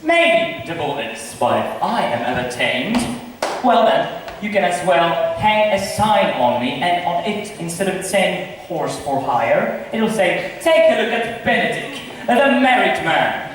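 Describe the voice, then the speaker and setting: A man's voice: sits high, big pitch swings, unhurried, a bit bright, exaggerated voice. A man acting a witty, scornful confirmed bachelor in an amateur stage comedy, with a theatrical, declaiming manner. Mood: defiant. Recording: echoey hall, distant mic, noisy.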